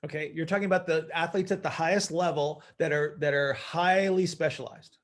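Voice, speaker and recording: A man's voice: a little high, fairly even intonation, brisk. A man leading an informal group call as coach, teaching emphatically by posing questions and answering them himself. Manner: emphatic, asks and answers own questions, often checks with "right?".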